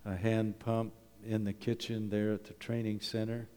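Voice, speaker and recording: A man's voice: fairly low pitch, level tone, normal speed, darkish tone. Folksy man in an echoey hall. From Canada. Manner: humorous, conversational, with rhetorical questions. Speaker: wilderness school principal giving a presentation at church. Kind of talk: folksy public talk with slides. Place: church hall, talking to a congregation. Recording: echoey hall with long reverb.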